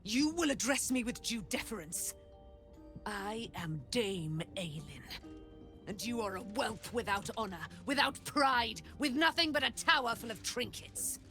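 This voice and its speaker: A woman's voice: fairly high pitch, lively intonation, measured pace, pushed and bright, soft background music. A woman playing a proud, righteous warrior dame in a fantasy video game, with lofty, formal, declamatory delivery. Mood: scornful.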